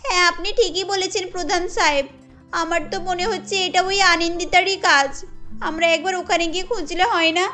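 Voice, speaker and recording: Jamuna, a woman doing a tender, high-voiced young mother. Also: steady tone, moderate pace, sharp tone, soft background music. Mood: earnest. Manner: tender, emotional.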